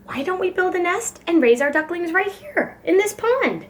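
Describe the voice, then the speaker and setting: A woman voicing a fussy, protective mother duck in an expressive storybook reading. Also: very high-pitched, normal speed, pitched above the performer's own voice. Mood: pleased. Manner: expressive, emphatic storybook delivery. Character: fussy, protective mother duck, polite but easily flustered.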